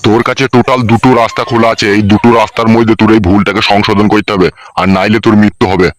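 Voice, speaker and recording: A man's voice: lowish voice, level tone, fast, lightly acted. A man voicing a stern, foul-mouthed village elder passing judgement in a cartoon. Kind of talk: cartoon voice acting.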